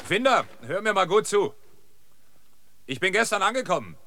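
An actor in a German radio play playing an inquisitive, steady man of eighty-six who speaks in a measured, plain-spoken, hesitant way. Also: high voice, slight background noise, full character voice. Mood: threatening, shouting.

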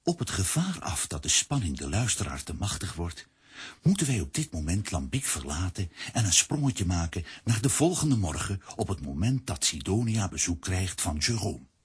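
An actor as the mock-solemn, dramatic narrator of a children's audio drama. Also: male voice, sits low, big pitch swings, normal speed, breathy edge, heavily acted. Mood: suspenseful. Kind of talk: scripted children's story narration.

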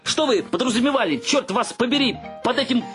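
An actor playing an exasperated, materialistic young adult son in a radio play, ranting with sarcastic scorn. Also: male voice, very high-pitched, animated, fairly quick, faint music behind. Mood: angry scorn.

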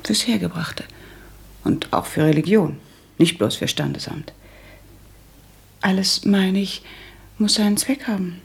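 An actress playing a prim, practical and resolute young woman in a German radio drama, speaking firmly with a husky, creaky voice. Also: mid-range voice, a little slow, long pauses, full character voice. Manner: firm, matter-of-fact.

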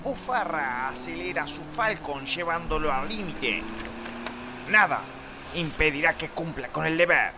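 A man's voice: on the high side, lively intonation, unhurried. Man as melodramatic radio serial narrator, noisy backdrop. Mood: suspenseful. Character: dramatic male narrator of a police serial.

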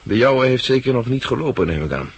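An actor playing a brisk, authoritative head of research with a probing, businesslike manner in a 1960s Dutch radio drama. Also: male voice, medium pitch, sing-song, slightly rough, dry recording, exaggerated voice. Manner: probing, businesslike, clipped questioning.

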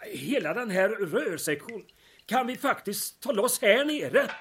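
A man's voice: high-pitched, very animated, a bit bright, a little hiss or hum, full character voice. A man acting a put-upon town mayor in an audio drama, with a dry, sardonic manner. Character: exasperated, sarcastic male town mayor doing plumbing.

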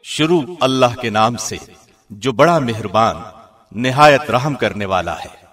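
A man's voice: mid-range voice, lively intonation, a bit bright. Solemn man reading scripture, slightly distant. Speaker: translation reader, radio host.

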